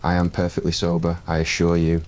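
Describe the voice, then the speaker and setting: Aegon, un homme jouant un jeune roi sûr de lui à la voix grave. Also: male voice, brisk, close to an everyday voice. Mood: smug, confident. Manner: low, smug and relaxed. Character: confident, teasing young male king.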